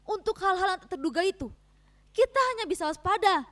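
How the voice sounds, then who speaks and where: A woman performing a dramatic reading as an earnest, frustrated farming wife, speaking in an emphatic, measured, theatrical way. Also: very high-pitched, animated. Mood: earnest, persuasive.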